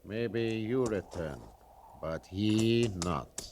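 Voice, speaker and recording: A man's voice: on the low side, drawn-out words, darkish tone. A man playing a stern, impatient leader in an old-time radio western, speaking solemn, terse broken English. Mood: impatient, threatening. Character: stern, impatient Arapaho leader, distrustful of soldiers. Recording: noisy old radio recording with clicks.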